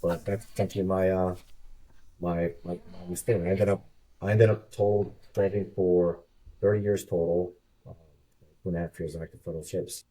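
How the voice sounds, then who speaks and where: A man's voice: low voice, fairly even intonation, fairly quick, dark tone, poor audio. A man in his fifties, a retired Army officer, talking casually as an interview guest over a video call, repeating words as he thinks.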